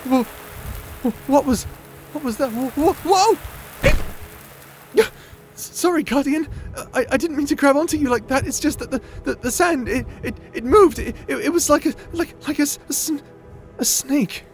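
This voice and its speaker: Young male wizard, played by a man, audio drama: high, very animated, nerdy, self-doubting stammerer, music underneath. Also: moderate pace. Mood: startled, stammering. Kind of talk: scripted audio roleplay acting.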